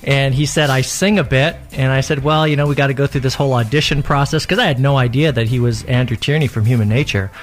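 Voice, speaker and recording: A man, a worship pastor guesting on a radio interview, telling stories in a calm, sincere way. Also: mid-range voice, fast talker, hardly any pauses, faint music behind. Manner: reflective storyteller, calm and sincere.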